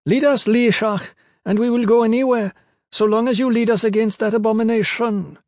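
A man puts on a high, feminine voice for a pygmy woman who leads exiles in a fantasy tale. She speaks formally and with stately gravity. The sound is dry, with no room around it. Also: steady tone, brisk, darkish tone, no echo. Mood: grieving, vengeful. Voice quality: high-pitched.

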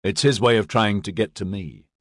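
A troubled young squad leader, voiced by a male narrator, speaks with strained earnestness and some defensiveness. The voice is husky and closely recorded without room sound. It belongs to a fantasy audiobook. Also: on the low side, normal speed, dry recording, studio quality. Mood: quiet, grave.

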